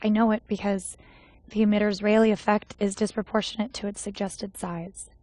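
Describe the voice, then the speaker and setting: A woman's voice: mid-range voice, little pitch movement, moderate pace, darkish tone, dry recording, close to an everyday voice. Woman as an emotionless AI, very dry sound. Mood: detached, wistful. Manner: emotionless, precise. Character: melancholy female AI reasoning about feelings. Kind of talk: flat placeholder game cutscene reading.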